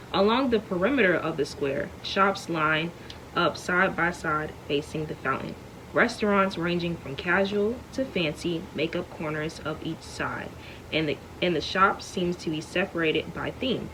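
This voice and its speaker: A woman reading a chapter of a novel aloud at home in a smooth, steady way. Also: mid-range voice, background noise. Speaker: read-aloud reader.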